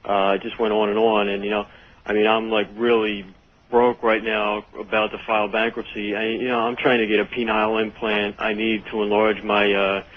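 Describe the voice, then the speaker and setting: Low, even-toned man on tinny phone. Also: normal speed. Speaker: phone-in caller. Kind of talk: casual confessional phone comment. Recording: tinny, band-limited phone line.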